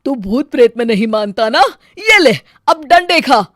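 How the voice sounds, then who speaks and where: Woman as scheming older mother-in-law for a cartoon story, loud, snide asides. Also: fairly high pitch, animated, moderate pace, dry recording, studio quality. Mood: gloating. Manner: muttering sarcastic asides, loud and scolding. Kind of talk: animated story voice acting.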